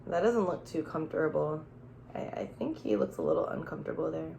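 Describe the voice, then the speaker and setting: Expressive woman reading a storybook to children. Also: low voice, a little fast, vocal fry, a little hiss or hum. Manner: expressive, playful, with gasps and exclamations; simple explanations and questions addressed to young children. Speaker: teacher reading aloud to children. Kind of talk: children's storybook read-aloud with questions and asides.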